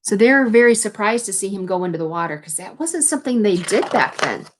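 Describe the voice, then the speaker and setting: A woman with a mid-range voice reads to young children in the manner of a teacher. She gives the story text a deliberate, expressive delivery and adds plain, simple explanations along the way. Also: medium pitch. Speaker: teacher reading a picture book aloud. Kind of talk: children's read-aloud with explanatory asides.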